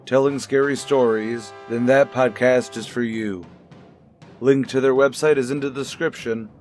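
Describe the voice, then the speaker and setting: Male radio announcer over music. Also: mid-range voice. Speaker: podcast announcer. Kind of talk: scripted radio-style announcing.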